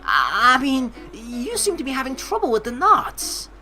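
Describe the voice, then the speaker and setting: Animated, bright-voiced woman playing a game. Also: sits high, normal speed. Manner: expressive, exclaiming, reacts out loud. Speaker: let's play streamer. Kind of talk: game commentary mixed with reading the narration aloud. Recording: faint game music behind the voice.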